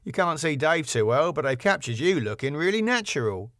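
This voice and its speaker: A man's voice: a little high, big pitch swings, a little fast, fairly bright tone, dry recording, clean studio sound, pitched above the performer's own voice. A man voicing a carefree, breezy, unworried young male colleague in a sitcom.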